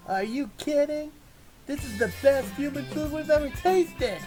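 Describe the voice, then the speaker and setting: A woman voicing a cocky, excitable teenage boy hero in a casual, boyish manner. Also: sits high, normal speed, close to an everyday voice. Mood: excited. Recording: music behind the voice.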